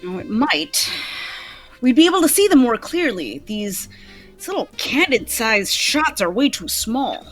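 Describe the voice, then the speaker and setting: Woman acting a puzzled earnest detective, radio drama, lively highish voice, music behind. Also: unhurried. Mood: baffled. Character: earnest, baffled police detective. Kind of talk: radio play table read.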